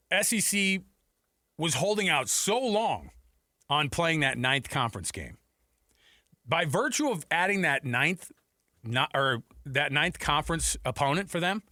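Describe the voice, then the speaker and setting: A man's voice: on the high side, bright, forceful tone, no echo. An American man hosting sports radio, talking casually and conversationally on a close studio mic, with rhetorical questions and asides. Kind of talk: casual sports talk commentary. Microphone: close studio headset mic.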